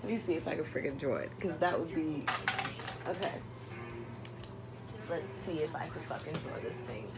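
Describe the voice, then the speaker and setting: A woman chatting casually as a vlogger, telling a personal story in an expressive, exasperated, sweary and comedic way. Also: low-pitched, normal speed, pauses often, heavy background noise.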